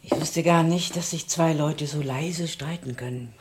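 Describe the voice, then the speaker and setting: Lowish-voiced, brisk grandmother. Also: very noisy. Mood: dry. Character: brisk, no-nonsense old grandmother, dryly scolding. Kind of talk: radio drama acting.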